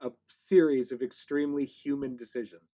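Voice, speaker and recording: A man's voice: medium pitch, fairly even intonation, brisk, a crowd in the background. A man hosting a casual podcast interview, with a wry, joking way of talking.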